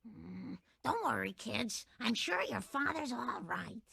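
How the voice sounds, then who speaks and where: An actress playing a soothing, anxious cartoon mother with a very raspy, gravelly voice and slow manner. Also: fairly high pitch, big pitch swings, pushed and bright, no echo, full character voice. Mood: uneasy reassurance.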